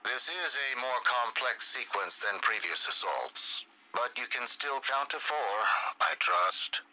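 An actor playing a condescending, erudite mission handler in a video game, wry and wordy. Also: male voice, mid-range voice, lively intonation, a little slow, sharp tone, poor audio. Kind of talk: scripted video game dialogue.

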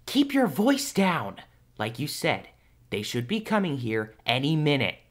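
A man's voice: a little high, wildly swooping pitch, measured pace. A man voicing an anxious, persistent young hedgehog hero in a fan audio drama, earnest and pleading. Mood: uneasy.

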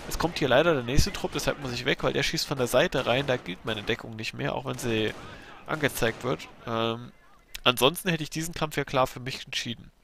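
A man with a bad cold commentating a replay of his own strategy game match, explaining his moves in a relaxed way with fillers. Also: mid-range voice, brisk, vocal fry, a little hiss or hum.

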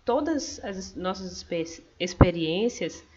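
A woman's voice: lowish voice, animated, takes it slowly, darkish tone. Brazilian woman, student presentation, explanatory, hesitant fillers, muffled recording. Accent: Brazilian Portuguese. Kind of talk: class presentation over slides. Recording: dull lo-fi recording.

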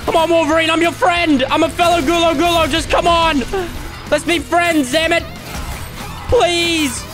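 A young man commentating his own gameplay, loud and dramatic, with squealing yelps and groans, his voice starting to go hoarse. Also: extremely high pitch, steady tone, measured pace, over music. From Australia. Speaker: gaming YouTuber.

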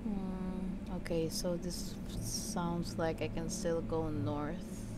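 A woman's voice: on the low side, steady tone, slow pace, heavy background noise. A woman giving casual gameplay commentary, thinking aloud and exclaiming as she plays. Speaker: let's play host. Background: game music.

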